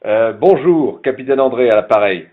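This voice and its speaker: A man acts out a bullying army captain on a prank call, husky and full of swagger. The pitch moves up and down a lot as he lectures and commands. It all comes through a narrow, tinny telephone line. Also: animated, moderate pace, natural voice. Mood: officious, condescending. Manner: stern, condescending, commanding. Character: pompous, bullying army captain recruiting failing students. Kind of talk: scripted prank phone call.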